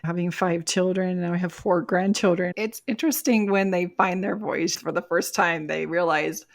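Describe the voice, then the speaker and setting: A woman's voice: on the low side, steady tone, moderate pace, gravelly. An older woman, a life coach, telling a personal story on a podcast in an earnest, reflective way. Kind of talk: podcast interview.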